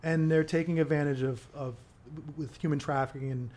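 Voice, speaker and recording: A man's voice: on the high side, fairly even intonation, brisk. A man talking as a podcast guest in casual conversation, emphatic and rising in volume when he makes a point. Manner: emphatic, gets louder when making a point. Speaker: activist guest.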